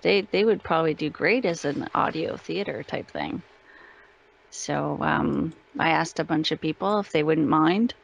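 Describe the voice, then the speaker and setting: A woman's voice: sits low, gravelly, lo-fi sound. A woman speaking as an interview guest, chatting casually in an informal, modest way.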